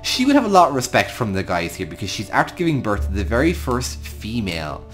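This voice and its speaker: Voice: medium pitch, huge pitch swings, brisk, faint music behind. An Irish gaming host giving improvised storytelling commentary over a game, talking affectionately to the creatures. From Ireland.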